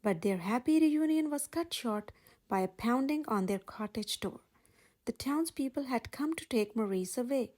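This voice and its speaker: A woman with a breathy voice reading a children's story aloud in an expressive way. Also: on the high side, normal speed. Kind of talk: expressive scripted read-aloud narration for children.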